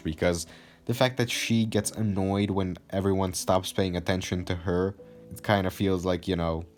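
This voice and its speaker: A man from Romania, giving loose, rambling commentary on a TV episode from his home and swearing freely. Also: low-pitched. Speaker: video essayist. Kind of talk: casual review commentary. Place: home room, indoors.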